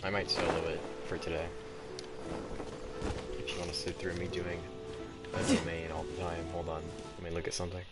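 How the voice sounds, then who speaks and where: A man's voice: low-pitched, flat delivery, brisk, pauses often. A man giving casual, rambling gameplay commentary, hesitant and often trailing off mid-thought. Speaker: gaming video maker. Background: game music.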